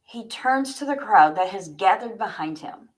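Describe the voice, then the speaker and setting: A woman's voice: mid-range voice, lively intonation, normal speed, poor audio. A woman author hosting a livestream, reading her own story aloud and chatting to viewers.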